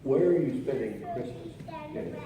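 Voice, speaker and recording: An actor playing a genial announcer and interviewer on a 1940s radio show. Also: male voice, mid-range voice, huge pitch swings, moderate pace, distant mic, background noise. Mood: warm, sympathetic. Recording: muffled, very echoey hall, music behind. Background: music.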